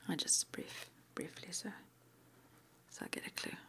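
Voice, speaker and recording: A woman whispering in an ASMR roleplay as an interviewer, breathy and halting, with gentle questions. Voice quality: breathy, mostly unvoiced whisper. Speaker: ASMR roleplay performer as witch school interviewer.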